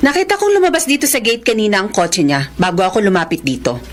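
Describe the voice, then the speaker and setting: A woman playing a bitter, vengeful jilted lover in an emotional radio melodrama, with halting, repeated phrases. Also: medium pitch, lively intonation, close to an everyday voice. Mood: tense, confronting. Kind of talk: Filipino radio melodrama acting. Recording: music behind the dialogue.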